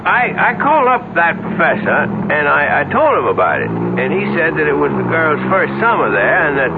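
An actor playing a folksy, slow-witted Western sheriff in a 1970s radio drama, hesitant and repeating himself. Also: male voice, fairly high pitch, creaky, phone-quality sound. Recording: noisy, band-limited old radio recording.

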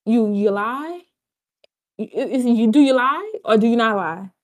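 A woman's voice: a little high, animated, a little slow, dry recording, studio quality. A woman co-hosting a podcast, ranting and joking in casual, profane banter. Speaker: podcast co-host.

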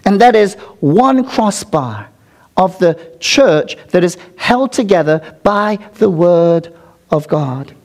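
A pastor preaches to his congregation in an earnest sermon, often checking in with the room through call-and-response asides. His voice sits notably high for a man. He is speaking in a church sanctuary. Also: lively intonation, takes it slowly.

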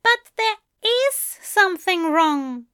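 Female narrator as fussy male angel, high voice, earnest, precise, pleading, anxious, audiobook character. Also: slow pace, a bit bright, full character voice. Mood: firm, indignant. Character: prim, anxious male angel, hurt and insistent.

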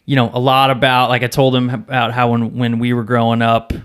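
A middle-aged man with a mid-pitched voice talks casually as a podcast host. His delivery is conversational and unscripted, like someone chatting with people he knows. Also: monotone, a little fast, fairly bright tone, clean tone. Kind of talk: casual chat.

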